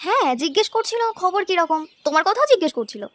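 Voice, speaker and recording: A woman's voice: very high-pitched, lively intonation, fast talker. A woman playing a caring young wife and daughter-in-law in a cartoon, sweet and pleading. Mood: eager, gentle. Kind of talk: cartoon voice acting, domestic moral story.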